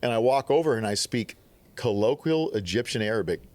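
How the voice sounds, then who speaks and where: A man from the Midwest, telling stories on a podcast in a casual, animated way, acting out quotes. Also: mid-range voice, brisk. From Upper Midwest. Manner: animated storyteller, acts out quotes. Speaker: podcast guest. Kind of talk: casual storytelling.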